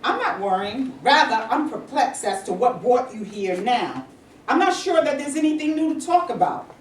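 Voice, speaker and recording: An actress in a staged reading playing an earnest, indignant civil rights activist. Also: female voice, on the high side, very animated, normal speed, recorded from a distance. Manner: pointed, indignant. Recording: echoey room, voice from afar, faint hiss.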